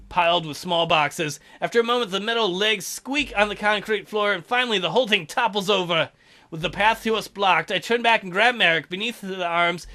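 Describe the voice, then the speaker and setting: A man narrates a visual novel aloud, breaking off for sarcastic jokes. His voice is fairly high for a man and bright, with a tense, forceful edge. The reading runs steady between the wisecracks. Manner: sarcastic, joking. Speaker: let's play host.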